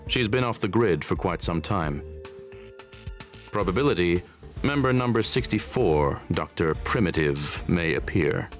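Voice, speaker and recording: A man's voice: low voice, animated, a little slow, faint music behind. A man voicing a logical, precise robotic genius in a video game, formal and clipped. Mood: logical, matter-of-fact.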